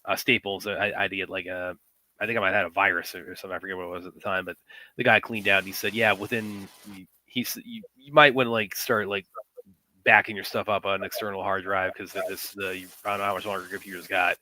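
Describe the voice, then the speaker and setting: A man's voice: sits low, fairly even intonation, quick pace, pushed and bright, slight background noise, rough recording. A young man co-hosting a live stream, rambling with many fillers and restarts, sniffling from a runny nose. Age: young adult. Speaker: co-host. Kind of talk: casual live stream chat. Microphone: computer headset mic with sound trouble.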